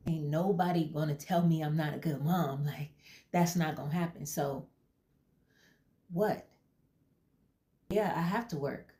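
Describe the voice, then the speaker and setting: A woman puts on a brash young mother in a comedy sketch, loud, combative and quick to cut off every question. Her voice sits low and mellow, yet she fires her words out fast and hard. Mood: indignant. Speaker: therapy client.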